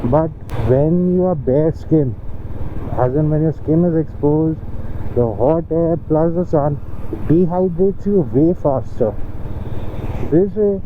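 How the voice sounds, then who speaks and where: A man's voice: fairly high pitch, takes it slowly. A young man from Delhi with an Indian English accent, Hindi his first language, giving wry, chatty commentary and riding advice while riding a motorcycle through city traffic, recorded on a helmet camera. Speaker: motorcycle vlogger. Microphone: helmet-mounted action camera mic. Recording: tinny, lo-fi helmet cam audio with clear road noise. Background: traffic and engine noise.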